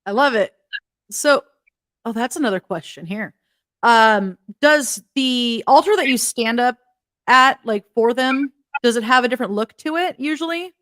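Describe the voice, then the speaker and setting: A woman hosting a casual interview, enthusiastic and quick to laugh. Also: on the high side, fairly bright tone, no room sound. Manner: enthusiastic, giggly. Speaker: host.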